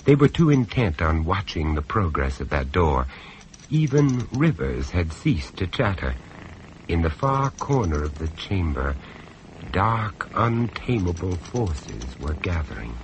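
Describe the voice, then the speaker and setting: An actor playing the male narrator of a radio horror tale, measured, urbane and ominous. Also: sits low, very animated, slight background noise, exaggerated voice, low-quality recording.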